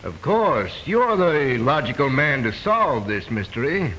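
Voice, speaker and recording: A glib, inquisitive hotel guest from a vintage mystery film. His mid-pitched voice swings freely as he needles and teases. The soundtrack is dull. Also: very animated, unhurried, a bit bright, a little hiss or hum. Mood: needling, curious. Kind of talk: 1930s-40s mystery film acting.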